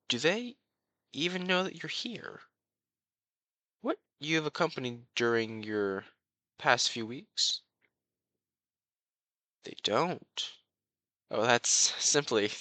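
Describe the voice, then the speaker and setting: A man playing a courtly young crown prince, besotted and quietly possessive, speaking formally and floridly to the listener in a romantic audio roleplay. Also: medium pitch, dry recording, exaggerated voice. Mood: concerned, probing. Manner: formal, flowery, genteel speech.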